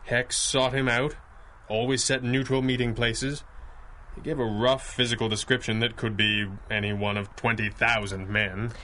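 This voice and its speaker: Actor as bright-voiced, commanding masked crime fighter. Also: male voice, mid-range voice, little pitch movement, slight background noise, close to an everyday voice. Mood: grim, brooding. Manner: crisp, earnest, formal, deliberate phrasing. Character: masked male crime-fighting hero, brainy, courteous, commanding. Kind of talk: 1930s-style radio superhero drama acting.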